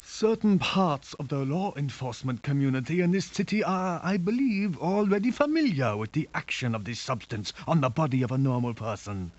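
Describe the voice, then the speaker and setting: An actor playing a coldly menacing crime lord in a video game, pompous, formal and deliberate, on a dull lo-fi sample. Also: male voice, a little high, lively intonation, a little mellow, lightly acted. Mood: smooth, menacing. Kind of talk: theatrical video game villain acting.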